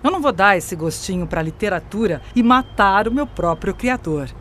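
Woman acting a scornful, theatrical female AI, swooping pitch. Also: lively intonation, normal speed, fairly bright tone, slight background noise, natural voice. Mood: menacing, righteous. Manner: mocking, domineering, theatrical. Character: scornful, grandiose female artificial intelligence turning on her creator. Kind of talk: audio drama acting.

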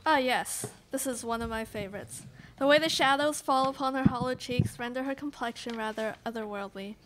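A woman's voice: on the high side, a little fast, fairly bright tone, natural voice. A young woman playing a teasing, dramatic college student with a florid delivery in a student radio play. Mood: admiring. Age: young adult. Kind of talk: student live radio play, read from script. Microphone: stage microphone on stand. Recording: noisy live studio pickup with clicks.

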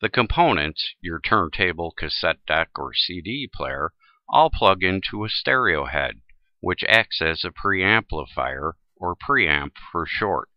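A man's voice: low voice, very animated, a little slow, no room sound, lo-fi sound. A man presenting a tutorial in scripted, instructional narration.

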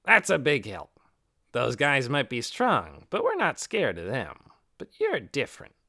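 A man's voice: mid-range voice, wildly swooping pitch, measured pace, pushed and bright, full character voice. A man voicing a brash, wary goblin warrior in an audiobook, with a hard voice and slangy, taunting talk. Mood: wary, firm. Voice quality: hard, creaky.

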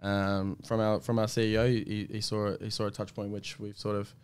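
A man, a podcast guest talking casually in quick run-on sentences with many restarts. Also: fairly low pitch, level tone, clean tone. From Australia.

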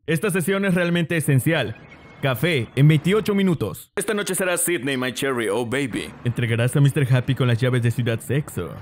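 A man's voice: medium pitch, lively intonation, normal speed, slight background noise, lightly acted. A man dubbing a cocky, manipulative teenage boy in Latin American Spanish, smug, glib and bossy, in an amateur fandub. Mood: bossy, scornful.

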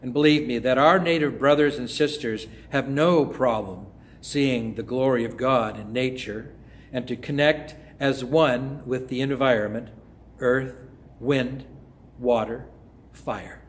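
An older man preaching a sermon in a church, close to a phone or webcam. He speaks in a measured way and often drops to a soft, hushed voice. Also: mid-range voice. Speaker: pastor. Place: church sanctuary before an altar cross.

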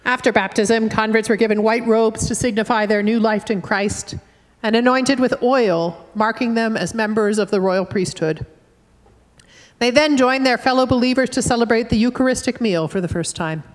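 A middle-aged woman with a Canadian accent, a minister giving a measured, earnest sermon from the pulpit with gentle humor. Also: a little high. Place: wood-panelled church sanctuary, at a pulpit. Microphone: fixed church camera at a distance. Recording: church with long reverb.

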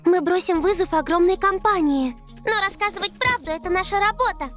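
A little cartoon girl with a very high voice. She is a determined, eager young reporter. Faint music plays behind. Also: animated, brisk, a bit bright. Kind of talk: animated series dub.

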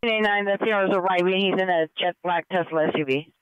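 A man's voice: sits high, a little fast, clean tone, no room sound. A man working as a dispatcher, giving clipped procedural messages over a police radio. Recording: muffled police scanner radio.

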